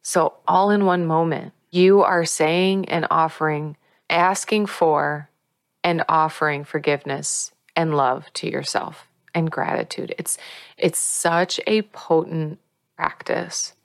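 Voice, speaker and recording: Low-voiced woman teaching gently. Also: steady tone, vocal fry, no room sound, clean studio sound. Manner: earnest and coaching, slows down to stress key words. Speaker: spiritual teacher and author. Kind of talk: guided spiritual teaching.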